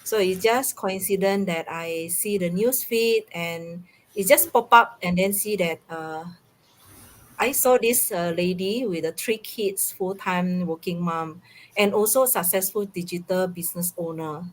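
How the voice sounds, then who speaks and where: A middle-aged woman from Indonesia with an Indonesian accent, speaking haltingly as a guest in a casual live online talk. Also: lowish voice, rough recording.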